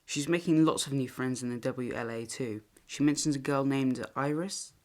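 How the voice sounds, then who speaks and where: A woman acting in an audio drama as a mild, patient young son in a wartime family, speaking in a measured, placating way. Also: very deep voice, a little mellow. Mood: calm.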